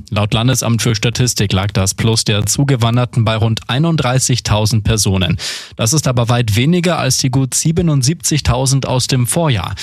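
Male newsreader, mid-range voice, steady measured delivery, dry close studio mic. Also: medium pitch, breathy edge, dry recording. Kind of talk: scripted radio news reading. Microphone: studio microphone.

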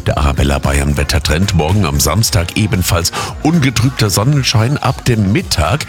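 A man presenting a scripted radio weather forecast. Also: low voice.

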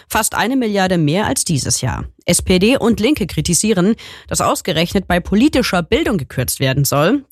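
A woman's voice: fairly low pitch, no echo, clean studio sound. A woman reading scripted radio news as a newsreader.

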